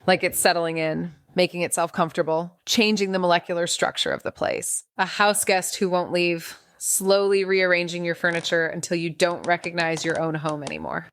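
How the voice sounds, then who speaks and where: A woman's voice: lowish voice, dry recording, studio quality, natural voice. A woman playing a guilt-ridden, wry amateur sleuth, narrating in the first person in a noir audio drama. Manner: wry, introspective, brooding.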